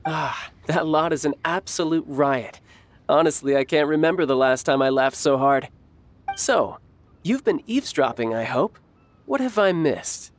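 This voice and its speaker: An actor playing a smug, playful young villain in a video game, breezy, cocky and teasing. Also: male voice, fairly high pitch, expressive, measured pace. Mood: smug scheming. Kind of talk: video game dialogue acting. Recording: music playing behind. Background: background music.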